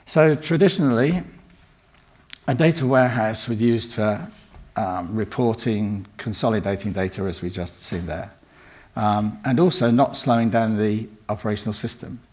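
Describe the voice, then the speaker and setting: An older man with a croaky voice from a cold, giving a rambling conference talk full of ums and restarts. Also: on the low side, moderate pace, darkish tone. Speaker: conference speaker. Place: conference room.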